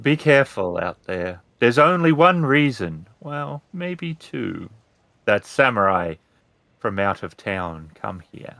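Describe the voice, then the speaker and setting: A man's voice: mid-range voice, big pitch swings, slow, creaky, fairly bright tone, no echo, full character voice. A man voicing a haughty, cagey sixty-year-old woman who rules a city, speaking formally in a tabletop game. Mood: grave, cagey.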